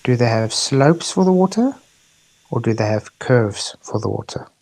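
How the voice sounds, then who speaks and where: A man's voice: medium pitch, animated, normal speed, vocal fry, darkish tone. A man from South Africa hosting a heated online debate and pressing for yes or no answers. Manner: insistent, pressing questions.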